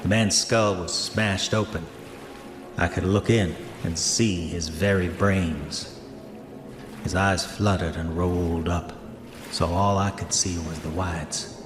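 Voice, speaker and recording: An actor playing a haunted man of an older century, gravely and formally reading his murder confession in a horror audio drama. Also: deep voice, steady tone, echoey room. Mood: grim, confessional. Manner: grave, formal, measured storytelling. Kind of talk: audio drama diary monologue.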